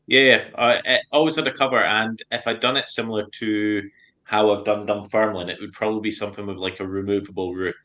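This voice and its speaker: A man's voice: lowish voice, steady tone, fast, pushed and bright. A man, a guest on a casual stream chat, explaining his plans in an easygoing way.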